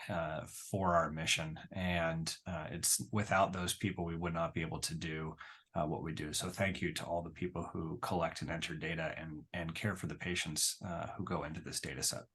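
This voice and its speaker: A man with a very deep voice speaks on a webinar. His intonation stays flat, and his delivery is earnest and full of hesitation fillers. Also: breathy edge. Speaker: intensivist, registry chair. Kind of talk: webinar introduction.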